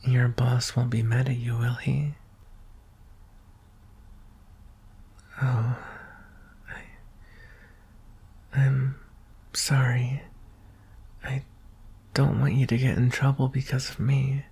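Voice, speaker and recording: A man playing a gentle, vulnerable young alien prince in an ASMR roleplay, speaking softly and hesitantly, often in a whisper. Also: mid-range voice, little pitch movement, heavily acted. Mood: shy, tender. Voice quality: soft, breathy, often whispering. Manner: hesitant, earnest, slow with long pauses. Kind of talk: ASMR roleplay, soft intimate acting.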